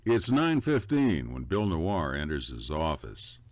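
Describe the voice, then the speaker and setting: Very deep, animated noir announcer. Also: male voice, unhurried, very noisy, exaggerated voice. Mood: mock-solemn. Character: campy, dramatic male announcer of a noir feature. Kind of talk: mock-serious noir radio narration. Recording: music faintly behind the voice.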